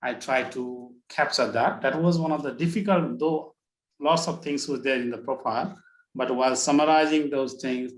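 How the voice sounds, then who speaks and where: A man in his thirties with a Nepali accent talks over a video call, and his voice bounces around a roomy, echoey space. He speaks at a middling pitch, stops often, restarts, and threads "like, you know" through his sentences as he works out what to say. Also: moderate pace. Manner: hesitant, heavy on fillers like "like, you know". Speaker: workshop participant. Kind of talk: sharing a personal story. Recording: roomy echo.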